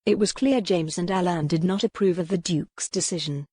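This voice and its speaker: A woman's voice: on the low side, moderate pace, a little mellow, husky, no echo. A woman reading scripted dramatic fiction as an audiobook narrator.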